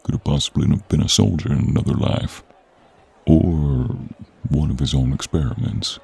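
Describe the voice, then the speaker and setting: A man playing a gruff, wry, honourable monster hunter in an audio roleplay, with a gravelly, husky voice and informal manner. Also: extremely low pitch, fairly even intonation, normal speed, a little mellow, exaggerated voice. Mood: matter-of-fact. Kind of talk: audio roleplay acting, speaking to the listener.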